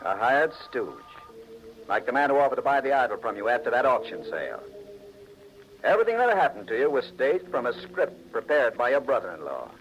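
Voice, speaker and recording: An actor playing a blunt, sardonic police trooper in a 1940s radio crime drama, talking in a hard-boiled, declarative way. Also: male voice, medium pitch, big pitch swings, fairly quick, soft background music, lightly acted. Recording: dull old radio recording.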